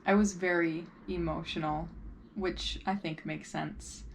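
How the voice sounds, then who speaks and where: A woman's voice: lowish voice, steady tone, measured pace, creaky, a little hiss or hum. A woman talking casually and informally in a vlog, loosely rambling as she shares updates as an emerging playwright. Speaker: vlogger and early-career musical theatre playwright.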